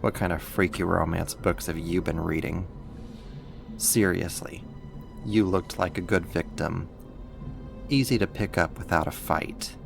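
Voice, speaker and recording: A man's voice: sits low, gravelly, music in the background, full character voice. A man playing a sadistic, mocking villain and kidnapper in an ASMR roleplay, with a controlling, condescending manner. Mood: smug, mocking. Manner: slow, taunting, controlling, condescending.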